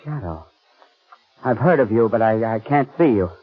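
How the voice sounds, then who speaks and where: An actor playing a frightened murder witness with frayed nerves, overwrought and halting, in 1930s radio style. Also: male voice, medium pitch, animated, close to an everyday voice. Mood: wary, distressed. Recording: muffled old 1930s radio recording.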